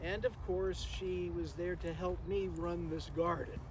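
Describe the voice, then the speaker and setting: An older man giving an informal, reminiscing memorial tribute outdoors, speaking haltingly and rambling with frequent pauses. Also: high voice, steady tone. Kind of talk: informal reminiscing speech to a gathering. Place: outdoors in a garden. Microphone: distant camera microphone, no working PA. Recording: noisy outdoor recording, distant microphone.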